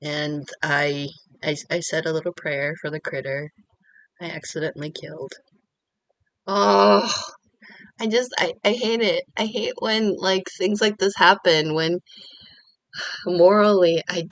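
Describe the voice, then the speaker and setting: A woman's voice: fairly low pitch, steady tone, measured pace, no echo. Candid, rambling woman vlogging at home. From Korean American. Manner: candid, rambling, self-deprecating. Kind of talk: casual confessional vlog.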